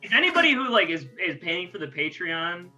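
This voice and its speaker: A man chats casually as a podcast co-host. His voice is fairly high and moves up and down a lot, with music clearly heard behind it. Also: big pitch swings, fairly quick, bright, forceful tone, poor audio. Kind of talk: casual group chat.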